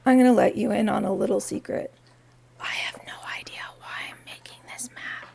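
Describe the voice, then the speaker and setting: A woman with a raspy voice, talking softly and unhurriedly through a quiet crafting vlog in her home studio, with wry asides. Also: medium pitch, steady tone, moderate pace, whispered. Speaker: crafting vlogger.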